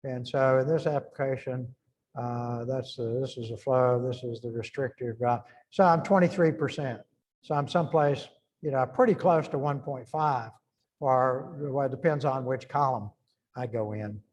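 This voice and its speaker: A man's voice: mid-range voice, moderate pace, darkish tone, low-quality recording. A middle-aged man giving an informal engineering lecture, thinking aloud and restarting phrases as he works through numbers.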